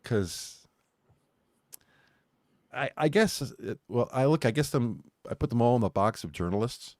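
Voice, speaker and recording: A man's voice: medium pitch, lively intonation, a little slow, darkish tone, no room sound, clean studio sound. A man co-hosting an online talk show, rambling casually and laughing at his own jokes. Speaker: podcast co-host. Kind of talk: casual chat.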